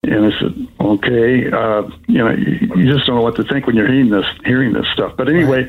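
An older man, sixties or above, giving an unscripted, rambling personal account as a caller, in a halting way. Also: medium pitch, steady tone, phone-quality sound. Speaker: caller telling of being scammed.

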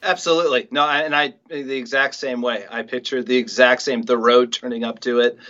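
A man's voice: mid-range voice, fairly even intonation, fairly quick, pushed and bright. A middle-aged man talking casually as an interview guest, reflective and often qualifying what he says. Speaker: guest, audio play writer and director. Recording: slightly dull, lo-fi sound.

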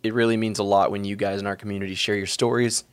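A man co-hosts a podcast in an earnest, encouraging tone. His voice sits somewhat low, and the sound is dry and close. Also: flat delivery, fast, clear, resonant tone, no room sound. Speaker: podcast co-host. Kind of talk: podcast hosting.

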